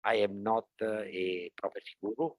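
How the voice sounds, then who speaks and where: Male panelist in his sixties or older, Italian accent, lowish pitch, halting and jokey conversational delivery, dry sound. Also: steady tone, dry recording. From Italy. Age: 60s or older. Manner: jokey, halting with many pauses. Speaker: panelist, property entrepreneur. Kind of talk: casual self-introduction to a live audience.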